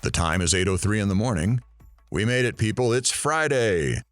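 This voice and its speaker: A man playing a wry morning radio host, delivering comic patter in a deadpan, mock-sincere way. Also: on the low side, normal speed, no room sound, faint music behind, lightly acted.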